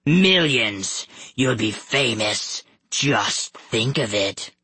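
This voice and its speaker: Monstrous wolf-man villain played by a man, audiobook. Deep, raspy growl, slow and commanding, bloodthirsty. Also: lively intonation, pushed and bright, no echo, heavily acted. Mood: menacing. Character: monstrous wolfish man, boastful, bloodthirsty video-game villain.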